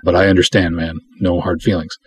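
A man with a husky, somewhat low voice hosts a podcast on his own. He chats casually and rambles a little, self-deprecating, slipping between reading aloud and offhand commentary. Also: level tone, brisk, dry recording. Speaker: podcast host.

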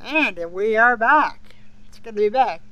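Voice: medium pitch, lively intonation, unhurried, smooth, lo-fi sound. A podcast co-host chatting casually in a somewhat hesitant, conversational way about a show they follow. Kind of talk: casual fan discussion of an audio drama series.

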